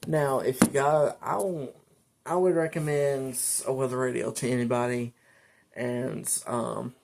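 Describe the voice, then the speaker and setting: Gravelly, casual man talking gear. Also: medium pitch, steady tone, unhurried, a little mellow, slight background noise. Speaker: host of a weather radio channel. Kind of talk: casual product talk to camera.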